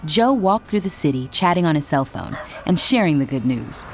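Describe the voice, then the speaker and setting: A woman reading scripted narration for a children's read-along storybook in an expressive, dramatic way. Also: sits low, moderate pace, slight background noise. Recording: music behind the narration.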